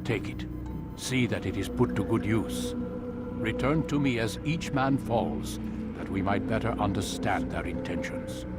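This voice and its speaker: An actor playing a stern, commanding older master of assassins in a video game, speaking in a measured, formal way. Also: male voice, on the low side, lively intonation. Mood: solemn, commanding. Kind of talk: dramatic video game voice acting. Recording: loud music behind the voice. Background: loud music.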